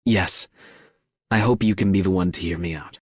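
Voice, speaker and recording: A man's voice: sits low, moderate pace, background noise, natural voice. A man voicing an earnest, burdened confidant in a scripted video game line. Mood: earnest, reflective.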